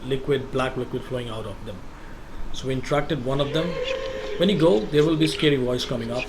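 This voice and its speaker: Man giving a halting game walkthrough. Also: medium pitch, background noise. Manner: halting, explains step by step with fillers. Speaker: gameplay walkthrough narrator. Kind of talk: casual walkthrough commentary.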